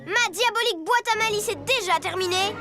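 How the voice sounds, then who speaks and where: High-pitched pompous boy villain. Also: brisk. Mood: smug gloating. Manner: pompous, theatrical gloating. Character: boastful scheming young boy villain with diabolical inventions. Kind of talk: French cartoon dubbing. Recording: loud music behind the voice. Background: loud cartoon score.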